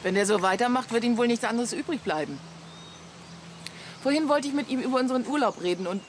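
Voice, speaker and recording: Middle-aged wife on a campsite, played by a woman, dry and put-upon, slightly high register, radio comedy. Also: fast talker, a little hiss or hum, close to an everyday voice. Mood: exasperated. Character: dry, long-suffering camper wife. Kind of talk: German radio play acting.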